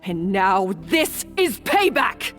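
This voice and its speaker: A woman's voice: mid-range voice, big pitch swings, slow, a bit bright, soft background music. An actress voicing a guilt-ridden woman accomplice in a video game, speaking in a resolute, bitter, dramatic way. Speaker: game character.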